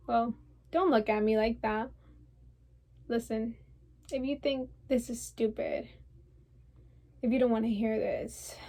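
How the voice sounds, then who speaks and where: A woman's voice: fairly high pitch, vocal fry. A woman performing a theatrical monologue as a defensive, wounded and stubborn young woman, insistent and rambling. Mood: defensive, irritated. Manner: insistent, rambling, piling up lists.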